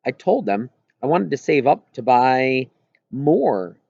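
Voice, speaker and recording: A man's voice: mid-range voice, very animated, takes it slowly, creaky, a little mellow, no echo, lo-fi sound. A man reading a children's novel aloud in first-person narration, as an audiobook reader.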